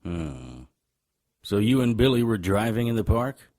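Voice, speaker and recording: A man playing a gruff, world-weary supernatural investigator in an audio drama, speaking in a wry, terse and insistent way. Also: on the low side, big pitch swings, measured pace, darkish tone. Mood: probing, urgent. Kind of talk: audio drama acting and first-person narration.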